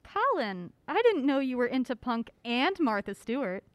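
A woman's voice: sits high, big pitch swings, moderate pace, no echo. A woman playing a curious, polite young woman drawn into a mystery in an audio drama.

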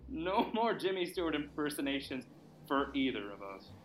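A man playing a playful, excitable partner on a mystery hunt in a naturalistic audio drama, bantering and punchy. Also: on the high side, normal speed, a little hiss or hum, rough recording. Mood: teasing.

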